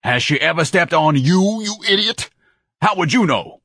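Pompous whiny fat man for an audiobook, husky, indignant, swoops all over. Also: medium pitch, very animated, moderate pace, a bit bright, dry recording, clean studio sound, pitched above the performer's own voice. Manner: pompous, didactic, melodramatic. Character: obese, pompous, whining man, indignant blusterer.

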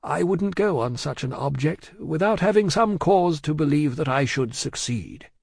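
A man voicing a discreet, anxious elderly bank man from a classic novel, polite, hesitant and measured. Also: on the high side, animated, creaky, a little mellow, breathy edge, no room sound, clean studio sound, pitched above the performer's own voice, full character voice. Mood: hesitant, cautious. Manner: hesitant, courteous, measured, fussy. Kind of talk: acted audiobook dialogue from a classic novel.

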